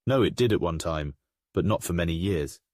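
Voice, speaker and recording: Man playing a low-voiced, solemn aristocratic wizard father. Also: animated, darkish tone, no echo, clean studio sound. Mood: solemn, remorseful. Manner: formal, measured, solemn. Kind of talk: comic dub voice acting.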